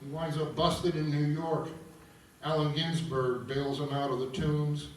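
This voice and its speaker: A man informally introducing and narrating a live table read, explaining as he goes with some halting. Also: a little high, little pitch movement. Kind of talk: live introduction and reading of stage directions. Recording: echoey room, far mic, guitar behind. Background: guitar music.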